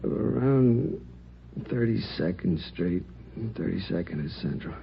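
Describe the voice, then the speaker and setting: An actor playing a sheepish, wounded married man in a 1950s radio drama, speaking haltingly and weakly in plain slang. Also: low-pitched, lively intonation, gravelly, full character voice. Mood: shaken, defensive. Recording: muffled, noisy old radio recording.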